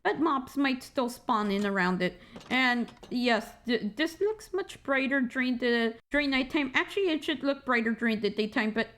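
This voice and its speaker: Squeaky high-voiced woman rambling through a tour. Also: expressive, moderate pace, a bit bright. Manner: rambling, self-correcting, joking asides. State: tired. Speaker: gaming channel host. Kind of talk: casual game walkthrough commentary. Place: indoor room at a computer.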